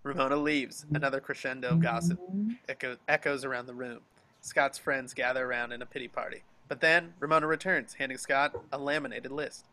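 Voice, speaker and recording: A man's voice: mid-range voice, fairly even intonation, brisk, sharp tone, poor audio. A man reading stage directions as scripted narration for a dramatic table read over a video call.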